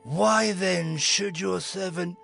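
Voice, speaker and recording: An actor playing a very aged, humble and weary man, speaking haltingly and deferentially in a scripture audio drama. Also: high-pitched, music in the background, exaggerated voice. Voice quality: creaky, aged voice.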